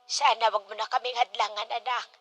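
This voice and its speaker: High, bright pleading older mother. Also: level tone, fairly quick, no echo. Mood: tender, pleading. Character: loving older widowed mother, pleading to remarry. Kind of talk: Tagalog radio drama acting.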